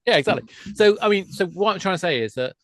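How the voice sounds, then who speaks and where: A man's voice: sits high, lively intonation, fast, a bit bright, no echo. A man speaking as an expert podcast guest, explaining conversationally with enthusiasm and emphasis, often restarting to rephrase.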